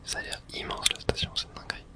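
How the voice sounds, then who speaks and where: Constant soft unvoiced whisper, ASMR gamer. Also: fast talker. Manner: hushed, unvoiced whispering throughout. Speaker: video game streamer. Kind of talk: whispered ASMR game playthrough, reading dialogue aloud.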